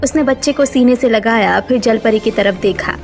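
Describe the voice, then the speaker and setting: A woman's voice: fairly high pitch, normal speed, soft background music. A woman narrator reading a scripted cartoon story.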